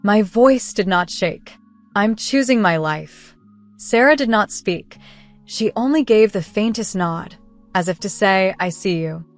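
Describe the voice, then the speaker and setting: A woman reading a scripted first-person story in a measured, earnest, literary storytelling manner. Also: mid-range voice, soft background music. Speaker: narrator.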